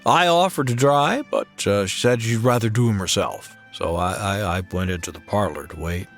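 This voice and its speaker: Gravelly aw-shucks cowboy, stammery, faint music under. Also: male voice, fairly low pitch, moderate pace, fairly bright tone. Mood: narrating. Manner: hesitant, stammering, drawling. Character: easygoing drifter cowboy, modest and soft-spoken. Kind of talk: old-time radio western.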